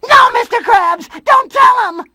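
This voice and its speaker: High-pitched eager sea sponge. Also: a little slow, no echo, raised voice, full character voice. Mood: excited, urgent. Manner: earnest, excitable, childlike. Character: eager, naive young male sea sponge cartoon hero. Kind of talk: cartoon video game acting.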